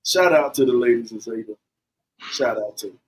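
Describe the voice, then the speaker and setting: A man hosting a casual podcast, teasing his friends and spinning drawn-out jokes. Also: mid-range voice, husky, rough recording. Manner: playful, teasing, drawn-out storytelling. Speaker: podcast host. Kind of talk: casual joking banter.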